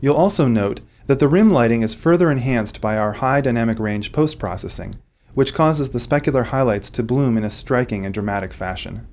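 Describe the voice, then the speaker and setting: A man delivers scripted commentary as a game developer. His voice sits at an ordinary male pitch, and he explains technical work in prepared, complete sentences. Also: brisk, darkish tone.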